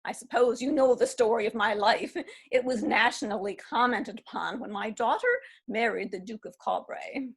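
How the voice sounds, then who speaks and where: An actress reading the part of a defiant seventy-one-year-old divorcee who laughs at old age, in a readers theatre play. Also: female voice, fairly high pitch, moderate pace, vocal fry, slightly rough. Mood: gleeful. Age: 70s. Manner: laughing, brash and amused. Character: spirited elderly divorcee, mischievous and defiant. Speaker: actor.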